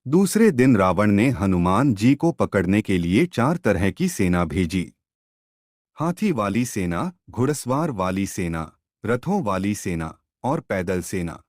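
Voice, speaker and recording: Slow, animated male storyteller. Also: lowish voice, no room sound, clean studio sound. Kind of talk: devotional mythological storytelling.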